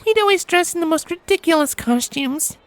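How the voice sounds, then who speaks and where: Very high, giggly young pony. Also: female voice, lively intonation, a little fast, no echo. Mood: fond, nostalgic. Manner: chirpy, cooing, giggly. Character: cheerful young female pony, giggly, sweetly teasing. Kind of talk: fan fiction audio story character acting.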